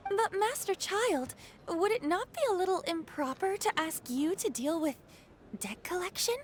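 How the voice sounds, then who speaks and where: A woman's voice: extremely high pitch, expressive, normal speed, a little hiss or hum. A woman playing a polite, deferential female agent in a video game. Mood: hesitant, deferential.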